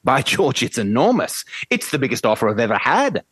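A woman's voice: a bass voice, sing-song, fairly quick, slightly rough, no echo, clean studio sound, full character voice. A woman putting on a haughty upper-class male professor. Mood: commanding. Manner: imperious, brusque, theatrical. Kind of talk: amateur play reading.

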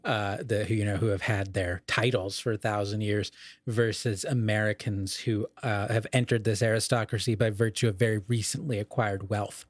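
A man's voice: on the low side, steady tone, normal speed, dry recording. Scholarly man podcaster, dry close sound. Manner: discursive, scholarly, self-correcting. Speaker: podcast co-host. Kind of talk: casual literary discussion.

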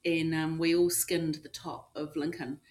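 A middle-aged woman with a New Zealand accent, a ski resort manager chatting in an interview, often pausing with um and you know. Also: sits low, little pitch movement, darkish tone. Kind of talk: casual interview answers.

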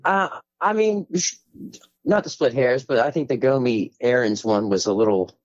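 Hoarse man co-hosting a fight podcast. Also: medium pitch, moderate pace, no echo. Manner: excitable, repeats words, chatty. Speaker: podcast co-host. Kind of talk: casual post-fight analysis. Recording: dry, dull lo-fi audio.

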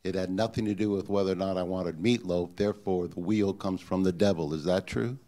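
A man with a very deep, big voice from the congregation. He disagrees openly and presses his objections with pointed questions. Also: fairly even intonation, a little fast, warm tone. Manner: challenging, questioning.

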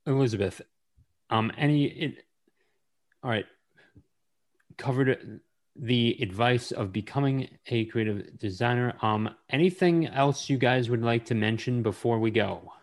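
A man hosting an interview podcast, who talks in a halting way, full of ums and uhs. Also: medium pitch. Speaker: podcast host. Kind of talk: casual interview chat.

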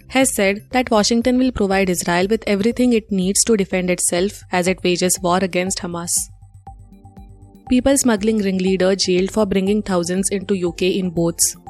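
A woman's voice: medium pitch, a little mellow. A woman with an Indian accent reading scripted news updates as a presenter.

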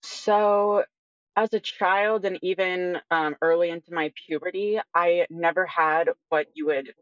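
A woman in her early twenties giving a measured, explanatory personal account as an interview guest. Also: fairly low pitch, steady tone, dry recording, muffled recording. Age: early 20s. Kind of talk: reflective personal account in conversation.

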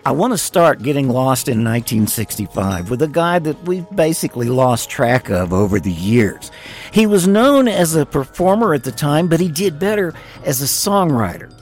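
A man's voice: a little high, sing-song, normal speed. A man hosting a music show, delivering scripted music-history narration in a wry, wordy, playful way. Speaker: radio music show host. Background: music under the voice.

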